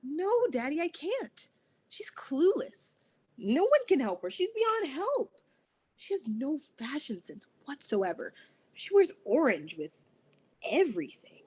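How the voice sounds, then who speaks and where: A woman's voice: high-pitched, huge pitch swings, unhurried, a little mellow, full character voice. A woman playing a spoiled, popular teenage girl at a table read, whiny and pleading with her father. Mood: whining, snobbish. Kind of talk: table read of an amateur play.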